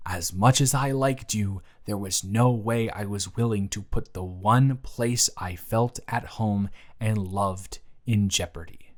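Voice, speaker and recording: A man's voice: lowish voice, slow. A man playing an earnest, easily flustered young man in love, in a conversational, emotive romantic audio roleplay addressed to the listener. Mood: earnest, vulnerable. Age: young adult. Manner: conversational, emotive, swinging from hushed to exasperated.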